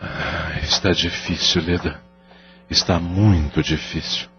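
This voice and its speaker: A man's voice: low voice, steady tone, slow pace, slight background noise. An actor playing a remorseful, guilt-ridden husband and father who speaks in a weary, pleading way. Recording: dull lo-fi audio with faint music.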